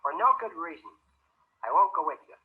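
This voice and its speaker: A man's voice: a little high, sing-song, a little fast. Actor as grumpy teen boy for vintage radio comedy: sarcastic, slangy, swooping intonation, narrow telephone-like disc sound. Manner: slangy, scoffing retorts. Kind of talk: 1930s radio comedy serial acting. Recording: tinny, band-limited 1930 transcription disc recording.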